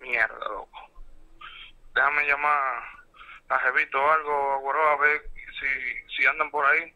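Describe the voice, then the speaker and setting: Sharp, bright Dominican man on the phone. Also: mid-range voice, flat delivery, measured pace, low-quality recording. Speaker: caller being pranked. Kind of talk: casual phone conversation. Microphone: phone held to studio microphone. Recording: voice over phone speaker.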